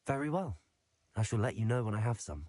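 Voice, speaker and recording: A man's voice: lowish voice, sing-song, fairly quick, mellow, no echo. An actor playing a polite, earnest young male student wizard in a video game, speaking in a courteous, composed way. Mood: polite, curious. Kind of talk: scripted video game character dialogue.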